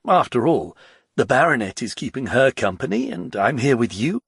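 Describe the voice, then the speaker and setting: A man voicing a calm young nobleman who answers in even, measured lines. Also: medium pitch, vocal fry, husky, no room sound, studio quality, heavily acted.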